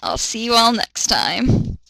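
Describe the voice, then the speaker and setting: A woman's voice: fairly high pitch, big pitch swings, takes it slowly, vocal fry, pushed and bright, no echo, poor audio. A woman giving a dramatic reading with exasperated, sarcastic, foul-mouthed asides. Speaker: host of dramatic fan fiction readings.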